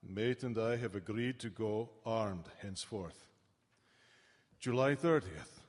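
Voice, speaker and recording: An actor playing a weary, grave sea captain in a live radio play, speaking in solemn, clipped phrases with a husky voice. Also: male voice, on the low side, slow. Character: weary, grave sea captain reading his ship's log. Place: town hall stage. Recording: echoey live hall.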